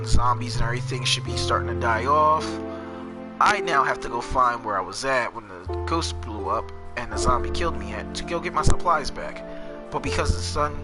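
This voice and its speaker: A man from New Jersey with a New Jersey accent, rambling casually as he narrates his own gameplay and chats with viewers. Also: medium pitch. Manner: rambling, chatty, talks to chat while playing. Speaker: gamer streamer. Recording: game music behind voice.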